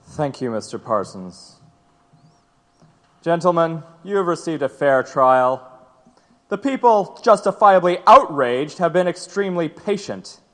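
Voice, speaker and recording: A man's voice: fairly high pitch, lively intonation, measured pace. An actor playing a stern male trial judge in an audio drama, speaking in a formal, commanding way. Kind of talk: dramatized courtroom radio acting. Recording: long hall-like reverb.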